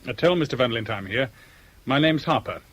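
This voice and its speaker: A man's voice: mid-range voice, expressive, brisk, a bit bright. An actor playing a blunt, hot-blooded man in a radio drama, with a terse and insistent way of talking. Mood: firm.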